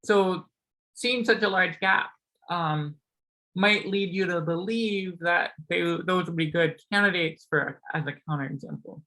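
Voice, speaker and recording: A man's voice: high-pitched, a little slow, bright, forceful tone, low-quality recording. A young man with a slightly sore voice giving a casual math seminar talk, full of ums and likes. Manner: casual, many ums and likes, self-correcting. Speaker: seminar speaker.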